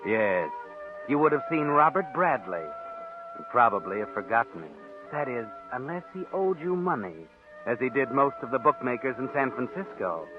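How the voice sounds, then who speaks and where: An actor playing a sinister, all-knowing male narrator of dark tales in a 1940s radio mystery, ominous and insinuating in manner. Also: mid-range voice, big pitch swings, moderate pace, lightly acted. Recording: tinny vintage radio recording with loud music.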